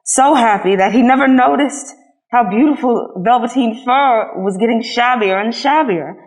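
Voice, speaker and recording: A woman reads a children's storybook aloud. Her voice sits at a middle pitch, and she delivers the text as scripted narration. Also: rough recording. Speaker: storybook reader.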